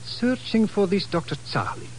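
An actor playing a formal, skeptical colonel in an old-time radio drama. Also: male voice, sits high, animated, darkish tone. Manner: formal, courteous, measured wording. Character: formal, skeptical Portuguese colonel in charge of security. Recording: slightly noisy vintage radio recording.

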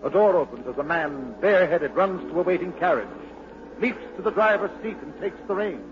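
A man's voice: on the high side, expressive, fairly quick. Male narrator, dramatic scripted delivery, 1940s radio, dull sound, heavy hiss. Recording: muffled, noisy 1940s radio recording.

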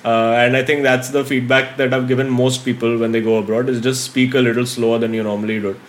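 A man's voice: mid-range voice, fast talker. A man with an Indian English accent, a stand-up comedian chatting casually on a podcast and mixing in Hindi as he tells stories from touring. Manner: relaxed storytelling, switching between English and Hindi. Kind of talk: casual chat.

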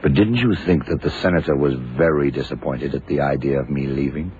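Casting: laconic, deliberate radio-drama detective, bottom-of-the-register voice, dulled vintage sound. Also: male voice, extremely low pitch, a little mellow. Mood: pondering. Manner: deliberate, probing, questioning. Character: shrewd, laconic male detective puzzling out a murder. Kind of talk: 1960s-70s radio drama acting. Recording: muffled, noisy old radio recording.

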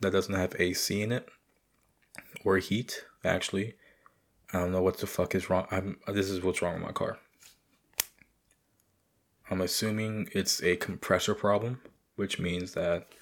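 A young man hosting his podcast, rambling and swearing in a casual late-night monologue with a raspy voice. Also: deep voice. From United States. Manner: profane, rambling, loose. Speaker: podcast host.

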